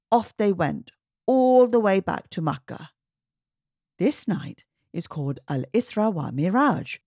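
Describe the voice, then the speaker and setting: A woman reading a children's story aloud in an expressive, emphatic narrating style. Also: sits low, dry recording, clean studio sound. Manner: animated, emphatic, clearly paced delivery. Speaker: children's story reader and host.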